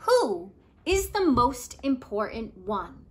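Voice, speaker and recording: An earnest young boy from a storybook, read by a woman, high and lively. He puts his questions with wide swings of pitch and careful emphasis. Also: takes it slowly. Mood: earnest, wondering.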